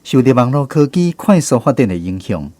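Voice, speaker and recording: A man's voice: mid-range voice, sing-song, brisk, darkish tone, no echo. A man with a Taiwanese accent hosting a radio programme with a scripted introduction. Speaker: radio host. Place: radio studio.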